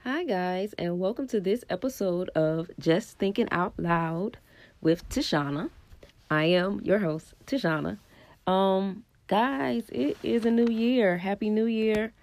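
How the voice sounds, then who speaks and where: A woman's voice: medium pitch, takes it slowly, a little mellow. Stuffy-voiced woman hosting a podcast. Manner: earnest and encouraging, thinking aloud with frequent ums. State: stuffy and congested with a scratchy throat from a cold. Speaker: podcast host. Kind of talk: casual solo podcast monologue on spiritual growth.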